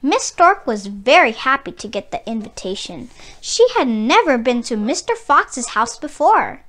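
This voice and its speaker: High-pitched woman reading a storybook aloud. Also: sing-song, measured pace, fairly bright tone. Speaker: children's book read-aloud narrator. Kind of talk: expressive storybook read-aloud for children.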